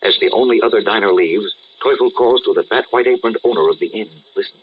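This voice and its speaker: A man's voice: on the low side, quick pace, breathy edge, phone-quality sound. A man narrating a 1940s-style radio serial as its announcer, with dramatic urgency. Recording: old radio broadcast recording.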